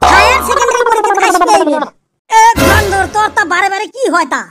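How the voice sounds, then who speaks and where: A man voicing a cheeky, bickering village lad in a comic cartoon, with a pitched-up voice. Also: animated, fast. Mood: mock-earnest. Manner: teasing, argumentative banter. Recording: loud music under the voice. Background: loud music.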